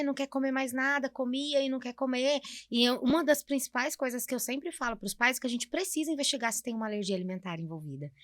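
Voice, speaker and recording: A woman's voice: high voice, fairly bright tone, no room sound, studio quality. A Brazilian woman speaking as a podcast guest and nutritionist, explaining things conversationally in an agreeable way.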